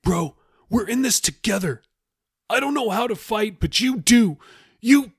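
Man acting a panicky young sailor, high and husky. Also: lively intonation, unhurried, dry recording, clean studio sound, full character voice. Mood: agitated, accusing. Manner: pleading, agitated, slangy. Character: panicky, desperate young male sailor held captive.

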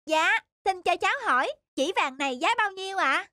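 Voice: very high-pitched, animated, brisk, sharp tone, no echo, exaggerated voice. An actor playing a clever, polite and earnest young son in a folk-tale cartoon. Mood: polite, haggling. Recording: music behind the voice.

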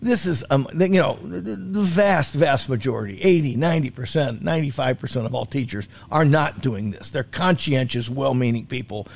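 A man's voice: mid-range voice, brisk, gravelly, hardly any pauses. Jokey, casual male food commentator. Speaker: food commentator on a talk show. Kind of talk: casual talk radio chat.

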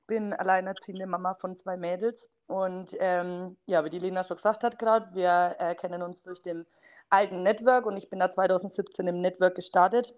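A woman's voice: on the low side, fairly even intonation, moderate pace, darkish tone. Chatty Franconian woman in her thirties. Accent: Franconian German. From Franconia, near Bamberg. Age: 30s. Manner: chatty, enthusiastic, many fillers and laughs. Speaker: podcast guest. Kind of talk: casual interview chat.

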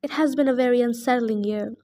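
Woman doing a fat old satyr, huffy and pompous, high and fussy, stammers when scared. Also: animated, a little slow. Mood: flustered.

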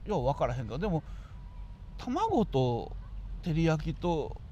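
A man's voice: fairly high pitch, wildly swooping pitch, a little slow, dark tone, dull audio, slight background noise. A man with a Kansai Japanese accent, a radio co-host rambling casually in a colloquial, musing way. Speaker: radio talk show co-host.

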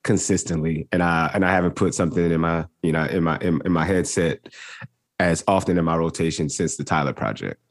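Male podcast host, very deep voice, dry close sound, relaxed and halting. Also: no room sound. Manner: laid-back, stumbles over words. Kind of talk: casual podcast chat.

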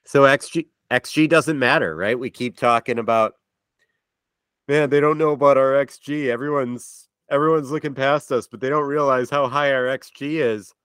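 Smooth-voiced wry man co-hosting. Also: mid-range voice, fairly even intonation, moderate pace, fairly bright tone, no room sound. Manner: wry, dry jokes. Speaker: podcast co-host. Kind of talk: casual sports chat.

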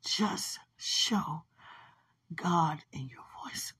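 A woman minister speaking a prophetic word to a singer, drowsy and drifting between firm exhortation and low whispers. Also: extremely slow, a bit bright, husky. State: drowsy, dozing off.

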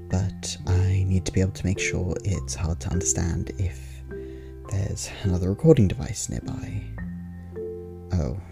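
A performer playing a nervous podcast host in a quiet ASMR roleplay, speaking in a hushed, halting, rambling way with a soft, near-whispered voice. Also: sits low, vocal fry, heavily acted. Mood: hushed, uneasy. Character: nervous podcast host hiding from eavesdropping vampires.

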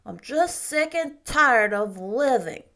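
A woman's voice: on the high side, lively intonation, slow pace, fairly bright tone, full character voice. A woman doing a sulky ten-year-old boy. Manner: sulky, plainspoken, stumbles over big words.

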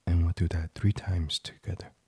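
A man leading a sleep meditation in an ASMR style, gentle and soothing, breathy and often half-whispered. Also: low voice, little pitch movement, moderate pace, no room sound. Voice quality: breathy, hushed. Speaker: guided meditation host.

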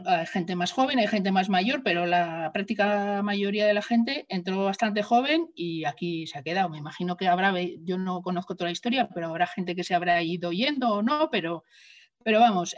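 A woman's voice: mid-range voice, recorded from a distance. Hesitant woman guest. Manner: hesitant, thinking aloud. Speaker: guest, maintenance manager. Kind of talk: casual interview answers.

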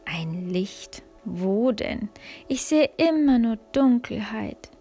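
A woman voicing a personified old wisdom, weary and reluctant, speaking in hushed, doubtful tones. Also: on the high side, expressive, slow, faint music behind, exaggerated voice.

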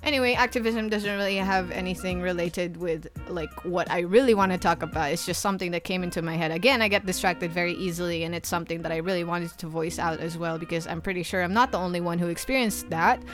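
A woman's voice: on the low side, fast talker, few pauses, faint music behind. A young woman from the Philippines hosting her own podcast, talking in a chatty, rambling way with lots of fillers and restarts. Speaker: podcast host. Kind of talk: solo podcast monologue.

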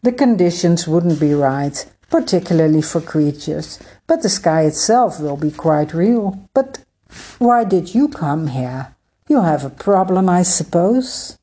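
Woman reader doing a patient sky god, slow and low, explains things gently. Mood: patient, explaining. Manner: calm, unhurried, gently explaining. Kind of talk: storybook read-aloud.

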